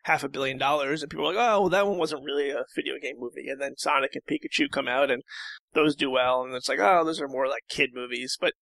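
A man talking casually as a podcast guest, in a halting, rambling way. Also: mid-range voice, creaky, a bit bright, no echo, rough recording. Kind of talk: casual discussion.

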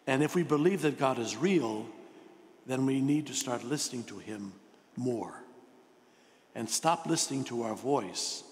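A man in his sixties, a priest giving a sermon in a deliberate, emphatic way with rhetorical questions and pauses. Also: mid-range voice, slight background noise. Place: large church, indoors at the altar. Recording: long reverberant church echo.

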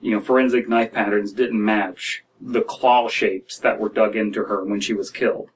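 A man in his thirties reading and reacting to stories as a podcast host, in a halting, wry, casual way. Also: on the low side, steady tone, slightly rough, distant mic, low-quality recording. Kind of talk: casual commentary and story reading. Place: small dim room indoors.

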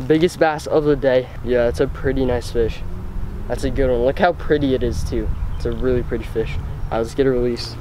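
A young man filming his own fishing, calling out excitedly as he hooks and lands fish by a pond outdoors, recorded on a head-mounted camera. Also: medium pitch, a little fast, noisy background. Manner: exclaims often while reeling in fish. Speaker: fishing vlogger. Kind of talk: excited casual commentary. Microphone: head-mounted action camera.

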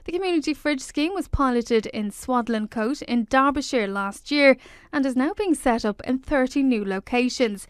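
A woman's voice: high-pitched, moderate pace, clear, resonant tone, dry recording, clean studio sound. A woman presenting radio news, reading a scripted report.

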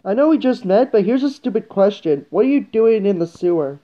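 High-voiced man, muffled comic redub. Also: lively intonation, brisk, music in the background. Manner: irreverent, blunt. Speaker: parody voice-over performer. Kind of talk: comic movie redub, deadpan wisecracks. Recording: dull, muffled home recording.

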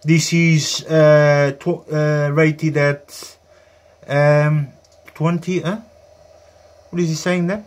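Slow, halting man with a highish voice. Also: flat delivery. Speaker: host of a hobby radio tutorial. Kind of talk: informal hands-on demonstration. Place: small indoor workbench area.

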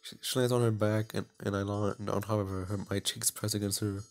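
A man's voice: lowish voice, flat delivery, a little fast, a little mellow. A man hosting a let's play, reading aloud and commenting casually, often tripping over his words and poking fun at himself. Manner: stumbles over words while reading, self-mocking. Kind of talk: casual gaming commentary and read-aloud narration.

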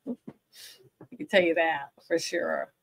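A middle-aged woman with a fairly deep voice, co-hosting a casual movie review over a video call, blunt and opinionated. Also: takes it slowly, vocal fry, slightly rough. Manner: blunt, opinionated, laughs often. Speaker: co-host. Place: indoors at home. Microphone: webcam on a video call.

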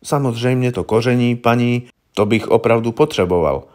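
A man's voice: medium pitch, a little mellow, natural voice. A man voicing a polite, candid police investigator in an audiobook, asking questions in a deferential, coaxing, colloquial way. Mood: coaxing, confiding. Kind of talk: audiobook character voice.

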